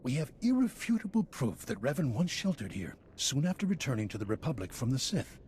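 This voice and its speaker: An actor playing an earnest, formal historian in a video game, speaking grandly and with enthusiasm. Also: male voice, mid-range voice, big pitch swings, a little fast, a little mellow, a little hiss or hum, natural voice. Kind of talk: game character dialogue.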